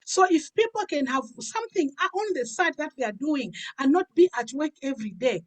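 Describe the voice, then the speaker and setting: High-voiced, emphatic woman in her fifties. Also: lively intonation, fairly quick. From Africa. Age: 50s. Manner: emphatic, repeats phrases for stress. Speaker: pastor giving a health and business testimony. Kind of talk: personal testimony in a conversational talk.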